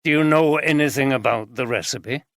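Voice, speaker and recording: A man voicing a calm, polite police detective who speaks in a measured way. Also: medium pitch, no echo, clean studio sound, natural voice.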